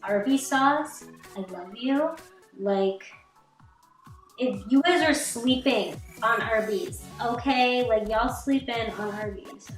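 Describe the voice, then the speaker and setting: Young female vlogger, chatty and rambling; roomy sound with soft music under it. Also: on the high side, slow. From Canada. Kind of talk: casual chat while doing makeup. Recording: echoey room, distant mic, faint music.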